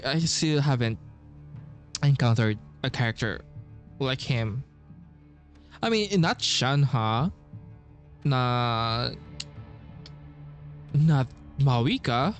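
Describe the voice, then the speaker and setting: A man's voice: mid-range voice, animated, takes it slowly, long pauses, soft background music. A man giving casual, slangy gameplay reaction commentary in trailing half-sentences. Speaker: gaming video creator.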